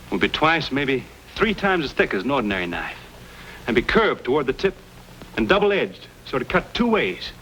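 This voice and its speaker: A man's voice: mid-range voice, fairly bright tone. An actor playing a bold, courteous young frontiersman with folksy phrasing in an old-time radio Western. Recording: noisy old radio drama recording.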